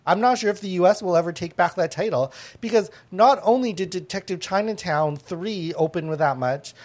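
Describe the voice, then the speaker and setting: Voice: medium pitch, fairly quick, darkish tone. A podcast host talking casually with a panel, full of fillers and restarts. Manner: chatty, many fillers and restarts.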